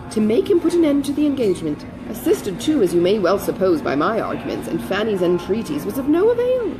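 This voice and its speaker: A woman reading a classic novel aloud, voicing a pompous, long-winded gentleman full of solemn grievance. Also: a little high, rough recording. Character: pompous, self-righteous married gentleman, solemnly aggrieved brother.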